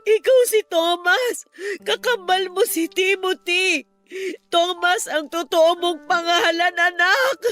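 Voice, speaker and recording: High-voiced, tearful, anguished mother. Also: slow, fairly bright tone, faint music behind, exaggerated voice. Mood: tearful, pleading. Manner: emotional, tearful, pleading. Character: anguished, loving mother reunited with her long-lost son. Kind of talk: Filipino radio drama acting.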